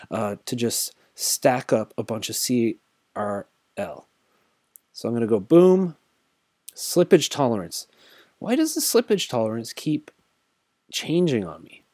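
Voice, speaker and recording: A man at his desk talking through a screen recording in a relaxed, rambling way, full of ums and half-finished thoughts. He sounds tired. His voice sits at an ordinary middle pitch, and the sound is close and dry. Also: no room sound. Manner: rambling, thinks aloud with many fillers. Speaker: crypto tutorial host. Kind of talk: casual screen-recorded walkthrough.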